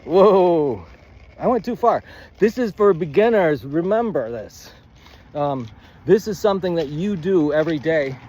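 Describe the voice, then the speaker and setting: A man giving a rambling, informal lesson as a writing coach, thinking aloud in a halting, stammering way and often wandering off on tangents. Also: on the high side, lively intonation, a little mellow, muffled recording. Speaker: writing coach, presenter of a video series.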